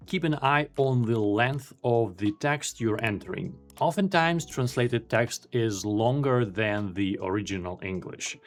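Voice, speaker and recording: A man whose first language is Ukrainian, presenting a screen-recorded software tutorial in a calm, explanatory way with dry self-deprecating asides. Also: mid-range voice.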